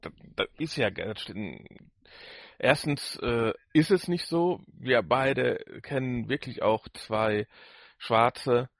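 Man, average pitch, casual podcast chat, halting phrasing, dry recording. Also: expressive, creaky, no room sound. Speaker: podcast co-host reviewing audio dramas.

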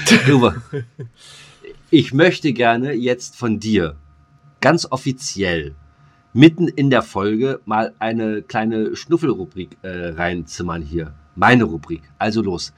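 A man's voice: on the low side, expressive, takes it slowly. A man co-hosting a casual podcast, rambling and joking with many fillers. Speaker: podcast co-host.

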